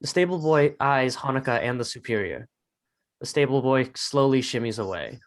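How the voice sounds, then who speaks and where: A man's voice: mid-range voice, expressive, normal speed. A man narrating a screenplay, reading out scene headings and stage directions as scripted narration.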